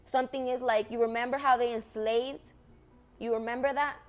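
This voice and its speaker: A woman's voice: fairly high pitch, fairly even intonation, normal speed, soft background music. Earnest woman, Spanish first language. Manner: earnest, repetitive, emphatic. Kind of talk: impassioned personal monologue.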